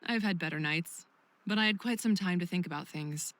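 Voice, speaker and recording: A woman playing a caring but firm friend in a visual novel, questioning in a measured, probing way. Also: on the low side, a bit bright, no room sound, lightly acted. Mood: calm.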